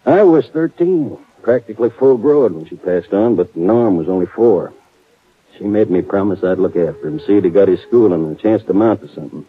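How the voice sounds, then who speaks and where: A man's voice: lowish voice, expressive, a little fast, husky. An actor playing a weary cattleman and older brother, plainspoken and somber. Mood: bitter. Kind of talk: 1950s radio drama acting. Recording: tinny old radio broadcast.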